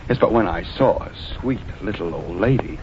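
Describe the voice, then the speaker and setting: An actor in a 1940s radio drama playing a nervous, polite young stranger with a hesitant, apologetic manner. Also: male voice, lowish voice, expressive, normal speed, lightly acted. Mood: ingratiating, nervous. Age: young adult. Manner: hesitant, apologetic, over-explaining. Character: nervous, shifty, polite young male stranger seeking lodging. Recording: muffled, noisy vintage radio recording.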